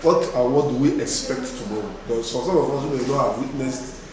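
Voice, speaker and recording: A man's voice: mid-range voice, quick pace. A man with a Nigerian accent putting questions in an informal interview. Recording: echoey, distant mic, crowd noise behind.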